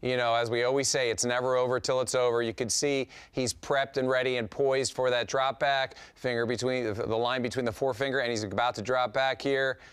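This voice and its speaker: A man's voice: medium pitch, flat delivery, a little fast, few pauses, a bit bright, smooth. Middle-aged man explaining fishing technique. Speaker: fishing show co-host, analyst. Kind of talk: casual studio commentary. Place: TV studio set.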